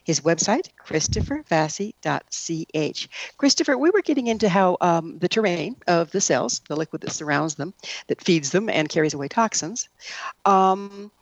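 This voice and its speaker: A woman's voice: fairly low pitch, animated, moderate pace, dry recording, poor audio. A woman, hosting a radio interview show in a scripted broadcast style.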